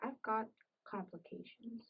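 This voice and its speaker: A woman's voice: fairly high pitch, unhurried, slightly rough. A woman playing a sickly, bitter, complaining farm wife with old-fashioned rural phrasing in an amateur period drama. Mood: ailing, complaining. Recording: muffled audio, distant microphone.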